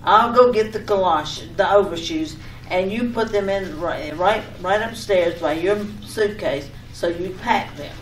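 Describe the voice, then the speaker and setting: A woman's voice: medium pitch, measured pace, natural voice. A woman playing a fussing, affectionate, nagging wife in an old-time radio comedy skit, often repeating herself. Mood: insistent. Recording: echoey room, distant mic, background noise.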